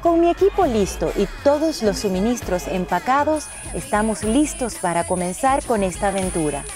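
A woman's voice: mid-range voice, lively intonation, moderate pace, over music. A woman reading a scripted travel narration in the role of narrator and traveller.

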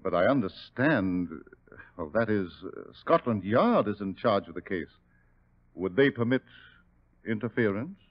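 A polite, evasive doctor in an old radio drama. His voice sits fairly low but moves with animated inflection. The recording is dull and dated. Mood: uneasy, resisting. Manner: polite, measured, formal. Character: courteous, smooth-talking doctor, evasive under questioning. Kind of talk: 1940s radio drama acting.

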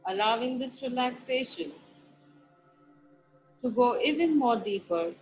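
A woman guiding a meditation, giving soft, slow instructions broken by long pauses. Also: a little high, lively intonation. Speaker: meditation guide. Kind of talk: guided meditation. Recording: dull lo-fi recording with faint music. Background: soft background music.

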